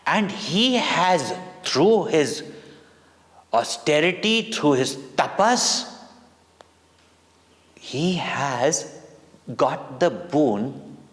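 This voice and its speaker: Indian man telling stories, lively swooping pitch. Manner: deliberate, dramatic phrasing in short pauses, simple words. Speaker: spiritual teacher telling stories to children. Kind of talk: devotional children's storytelling. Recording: long hall-like reverb on voice.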